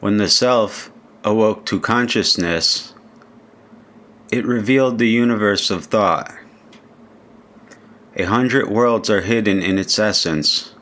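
A man reading translated poetry aloud like an audiobook, in a solemn, measured manner. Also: fairly low pitch, fairly bright tone. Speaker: reader.

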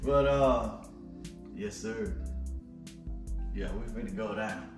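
A man's voice: on the low side, takes it slowly. A middle-aged man chatting casually and playfully in colloquial speech as co-host of a family vlog, often repeating himself. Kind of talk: casual kitchen vlog chat. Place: home kitchen. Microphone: camera on tripod at a distance. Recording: roomy echo, distant mic, background music.

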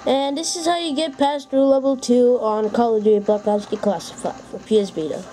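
A woman's voice: on the high side, a little fast, mellow, faint music behind. A woman giving a casual, plainly worded game walkthrough as host of a gameplay video. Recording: noisy camera recording of handheld game. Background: handheld game audio.

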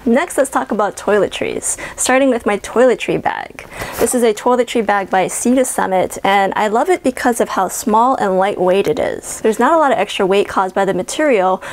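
A woman in her thirties walks through her favorite travel items with an upbeat, friendly manner. She speaks into a clip-on mic in a small bedroom, keeping a vlogger's easy tone. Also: medium pitch. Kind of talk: scripted product walkthrough. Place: small bedroom with a closet, indoors. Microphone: clip-on lapel mic.

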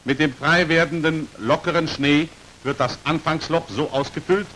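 Man, fairly high-pitched, precise formal narration, 1940s instructional film style, faint hiss, old soundtrack. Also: steady tone, moderate pace, a bit bright, low-quality recording. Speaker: narrator of a military training film.

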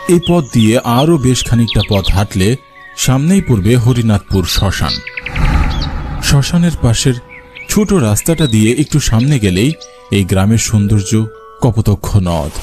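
A man's voice: fairly low pitch, lively intonation, normal speed, darkish tone. A man narrating a scripted scary story for a cartoon. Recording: music and rain behind. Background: music and rain sounds.

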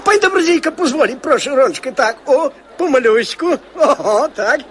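A man's voice: very high-pitched, expressive, moderate pace, a bit bright, a little hiss or hum. A man playing a good-natured, deferential horse-cab driver in a radio drama, folksy and repetitive. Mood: fussing, eager. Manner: folksy, repetitive, fussing, obsequious.

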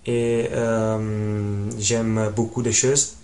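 Young Italian man in his twenties, slow, halting learner's delivery, flat low voice, webcam at home. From Italy. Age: 20s. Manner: halting, many pauses and fillers. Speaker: language learner. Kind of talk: casual self-introduction. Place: small room at home.

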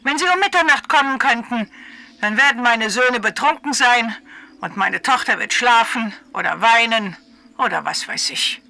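A woman's voice: on the high side, animated, normal speed, creaky, bright, forceful tone, slight background noise, full character voice. An actress playing a guarded, bitter widowed mother in a radio play, weary and formal in manner.

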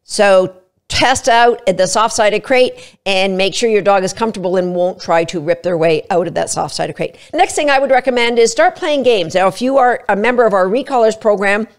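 A woman's voice: medium pitch, moderate pace. A woman in her fifties, a dog trainer, giving chatty, emphatic travel tips straight to camera at a studio microphone. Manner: chatty, emphatic, brief quoted asides. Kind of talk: casual instructional talk to camera. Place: small studio room indoors. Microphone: studio mic in front of her.

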